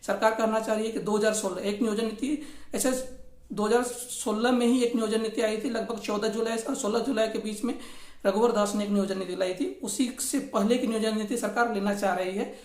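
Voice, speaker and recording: A woman's voice: a little high, fairly even intonation, fairly quick, darkish tone. A woman from explains the news informally as a channel host, often circling back over her phrases. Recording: echoey, roomy sound.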